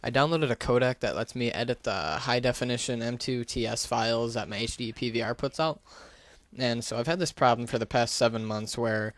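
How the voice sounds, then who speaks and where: Casual, even-toned man rambling on a headset. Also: mid-range voice. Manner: hesitant, rambling, self-deprecating. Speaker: gaming YouTuber. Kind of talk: casual unscripted gameplay commentary. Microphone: gaming headset.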